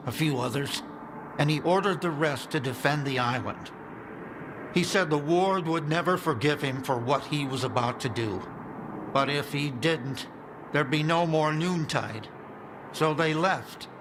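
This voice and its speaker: A man's voice: a little high, measured pace, lightly acted. A man voicing a worried male survivor in a video game, explaining things in an earnest, urgent way. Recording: game audio with clear ambient noise.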